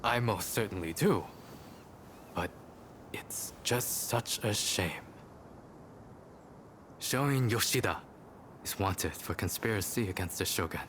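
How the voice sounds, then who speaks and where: A lowish, formal gentleman. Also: takes it slowly, pauses often, a little hiss or hum. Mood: regretful, composed. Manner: formal, composed. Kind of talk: video game cutscene acting.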